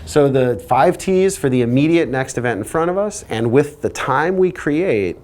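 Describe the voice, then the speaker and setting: A man's voice: mid-range voice, lively intonation, moderate pace, noisy background. A man speaking as a flight instructor, explaining procedures in a teaching style. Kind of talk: explanatory lesson talk.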